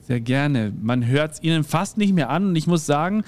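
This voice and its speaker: A man's voice: a little high, expressive, normal speed, a little mellow. A man hosting a radio show, leading an interview in an upbeat way.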